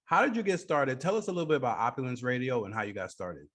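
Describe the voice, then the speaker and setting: A man's voice: mid-range voice, fast talker, a bit bright. A man hosting a radio show, interviewing a guest and repeating phrases for emphasis.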